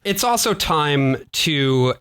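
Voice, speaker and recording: A man's voice: on the high side, expressive, takes it slowly, a bit bright, faint music behind. A man co-hosting a podcast in a casual, joking conversational style. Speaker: podcast co-host.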